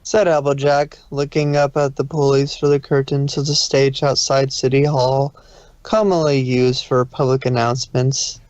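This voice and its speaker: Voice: medium pitch, level tone, moderate pace, a little mellow, dry recording. Dull-sounding, dry fan fiction narrator. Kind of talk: scripted story narration with stumbles. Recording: dull, muffled dry audio.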